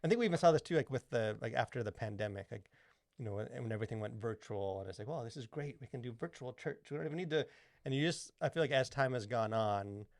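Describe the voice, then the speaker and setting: A man's voice: mid-range voice, expressive, fast, no room sound, studio quality. A man co-hosting a podcast in casual discussion, rambling along with lots of "like" and "you know". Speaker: podcast co-host. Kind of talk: casual discussion of culture and faith.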